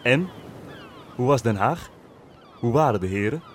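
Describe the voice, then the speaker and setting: A man's voice: mid-range voice, animated, measured pace, slight background noise, natural voice. An actor playing an affectionate grown man in love in a Dutch radio drama. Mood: affectionate, teasing. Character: affectionate grown man greeting his beloved.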